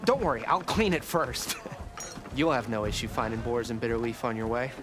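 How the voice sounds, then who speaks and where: An actor playing a fussy, put-upon but polite camp cook in a video game, with formal, wordy speech. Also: male voice, mid-range voice, normal speed, over music, close to an everyday voice. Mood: courteous, harried. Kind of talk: video game dialogue acting.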